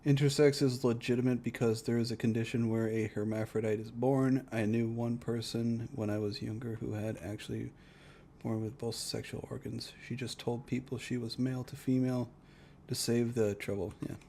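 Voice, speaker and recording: Male reaction streamer, mid-pitched, blunt and profane, casual delivery. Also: flat delivery, moderate pace, vocal fry, mellow, slight background noise. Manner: blunt, profane ranting with frequent swearing.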